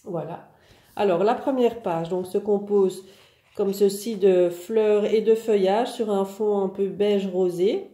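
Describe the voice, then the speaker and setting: A woman's voice: medium pitch, steady tone, slow pace, warm tone, reverberant. A woman with a head cold presenting new craft paper collections for her online shop in a chatty way, with many fillers. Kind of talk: casual product showcase.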